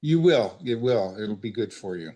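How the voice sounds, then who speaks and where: A man acting as the helper in an unscripted peer-support call, coaching in a gentle, hesitant way. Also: on the low side, expressive, normal speed.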